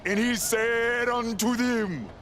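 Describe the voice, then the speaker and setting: An actor playing a zealous, guilt-ridden preacher in a video game, theatrical and sermonizing. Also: male voice, extremely high pitch, slow pace, fairly bright tone, a little hiss or hum, heavily acted. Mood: grateful, solemn. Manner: theatrical, sermonizing, quoting scripture. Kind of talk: video game cutscene acting.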